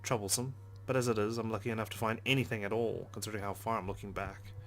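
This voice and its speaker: A man's voice: fairly low pitch, little pitch movement, quick pace. A man reading visual novel narration aloud dramatically as a let's play host, with brief casual asides. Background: game background music.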